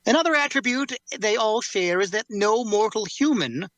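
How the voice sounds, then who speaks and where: Man acting a solemn, higher-pitched cursed swordsman. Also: animated, fairly bright tone, dry recording. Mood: measured, instructive. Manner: measured, formal, explanatory. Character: solemn, learned male warrior, bearer of a cursed sword. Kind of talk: dramatic fantasy table read.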